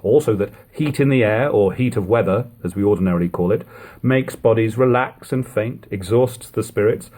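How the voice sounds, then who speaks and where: A man reading aloud as an audiobook narrator, with a measured, expressive literary delivery and a slightly husky voice. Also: mid-range voice. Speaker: audiobook reader. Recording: lo-fi home recording.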